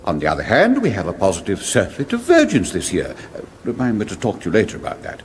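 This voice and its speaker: A man's voice: fairly low pitch, wildly swooping pitch, fast, slight background noise. Dithering king in a reverberant hall. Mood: complacent. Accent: British. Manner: fussy, hesitant, dismissive bluster. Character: dithering, complacent male king, blithely naive. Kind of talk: British radio comedy fantasy parody acting.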